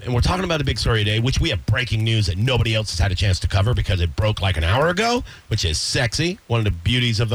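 A man's voice: medium pitch, fairly bright tone. A male radio host chatting casually and jokingly with his co-host in the studio, rambling from one aside to the next.

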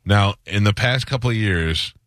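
A man's voice: lowish voice, normal speed, a bit bright, no room sound. A man hosting a morning radio show, teasing and roasting his co-hosts in casual studio banter.

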